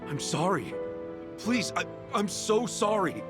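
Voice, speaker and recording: A man's voice: sits high, big pitch swings, slow, over loud music, exaggerated voice. A man voicing an earnest, apologetic ex-gangster hero in a dramatic video game cutscene. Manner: apologetic, sincere. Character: earnest, guilt-ridden ex-yakuza hero, adult man.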